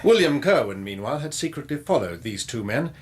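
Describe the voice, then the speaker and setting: A man portrays a confident police inspector in a radio play. His voice is medium in pitch, measured and deliberate, with cool, accusing authority. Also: very animated, lightly acted. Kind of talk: radio drama acting.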